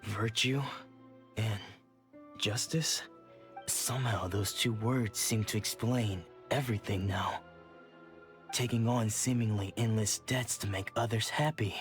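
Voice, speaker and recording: An actor playing a troubled, earnest swordsman who has lost his memory, speaking in a halting, ruminative way for a video game. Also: lowish voice, fairly even intonation, faint music behind, natural voice. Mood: bitter, lost.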